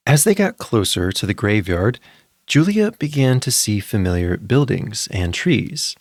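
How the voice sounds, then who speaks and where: A man narrating a scripted story as a podcast storyteller, in a gentle storybook way with deliberate phrasing. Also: lowish voice, sing-song, no room sound, studio quality. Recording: music behind the voice. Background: music.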